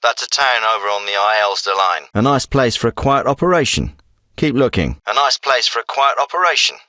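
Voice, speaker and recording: A man's voice: medium pitch, lively intonation, a little fast, pushed and bright, dry recording. A man voicing a businesslike military intelligence handler in a video game, giving mission briefings with clipped, purposeful delivery. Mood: focused, intent.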